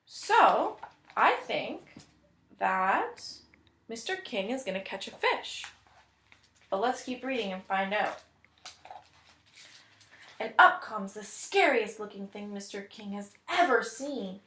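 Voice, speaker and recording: Slow, expressive woman reading in an echoey room. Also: mid-range voice. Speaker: teacher reading aloud. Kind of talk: picture-book read-aloud with think-aloud comments.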